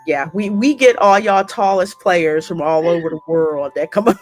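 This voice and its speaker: A woman's voice: fairly low pitch, moderate pace, darkish tone, faint music behind. An American woman casually commenting as host of a gaming channel. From United States.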